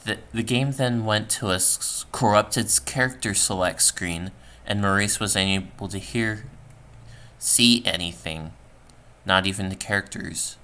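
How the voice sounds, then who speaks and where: A man reading a scripted horror story as narrator, somewhat halting with stumbles, on a home recording. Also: fairly low pitch, sharp tone. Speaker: storyteller, channel creator.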